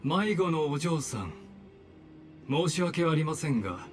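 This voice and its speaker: An actor playing a polite, menacing overseer in an anime game, formal and condescending. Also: male voice, fairly high pitch, sing-song, brisk, soft background music. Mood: coldly courteous. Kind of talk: Japanese anime game voice acting.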